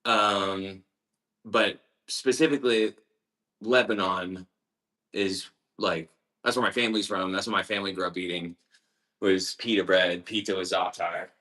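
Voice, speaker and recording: A man's voice: sits low, fairly even intonation, unhurried, pushed and bright. A young man chatting casually as he bakes, rambling and laid-back, with lots of slang. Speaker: home baker. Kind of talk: casual chat while baking.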